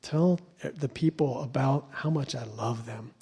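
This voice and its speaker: A man's voice: mid-range voice, measured pace, warm tone, breathy edge. A man from Ohio giving a sermon to a church congregation as a guest lay preacher, stumbling and repeating words with lots of fillers. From Ohio, USA.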